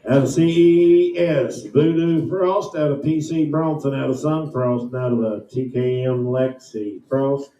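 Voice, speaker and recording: A man's voice: mid-range voice, fairly even intonation, unhurried, hardly any pauses, mellow, slight background noise. An American man working as a livestock auctioneer, with rhythmic, repetitive patter and sales talk. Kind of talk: rapid auction chant mixed with spoken sales pitches. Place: indoor livestock auction ring. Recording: echoey PA in auction barn.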